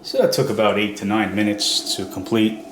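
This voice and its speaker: A man's voice: on the low side, normal speed, a little hiss or hum. Man with a stuffy nasal cold. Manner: plain step-by-step explaining with fillers. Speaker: product demo host. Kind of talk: casual how-to demonstration. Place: reverberant room at home.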